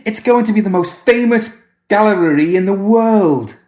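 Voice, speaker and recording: A man's voice: high-pitched, normal speed, a little mellow, reverberant, voice pushed up, natural voice. A man voicing a strange, bossy little boy in a story, with a piping voice and precise, childlike, petulant speech. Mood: excited, bossy.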